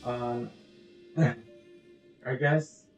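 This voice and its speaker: A woman streamer with a very deep voice chats casually over a game. Her delivery is slow and laid-back. The recording is echoey and dull. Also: fairly even intonation, darkish tone, soft background music. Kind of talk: casual gameplay commentary.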